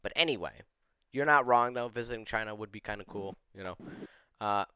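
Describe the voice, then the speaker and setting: A young man with a smooth, low-leaning voice talks casually and rambles, packing his sentences with fillers. He states strong opinions bluntly. The sound is dry and close, with no room around it. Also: brisk, pushed and bright, no echo. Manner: rambling, opinionated, heavy use of "like". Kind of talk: casual chat.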